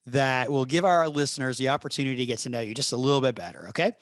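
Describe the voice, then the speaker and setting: A man's voice: medium pitch, no echo. Encouraging male podcast host, dry sound. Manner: friendly, encouraging, unhurried. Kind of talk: casual podcast interview.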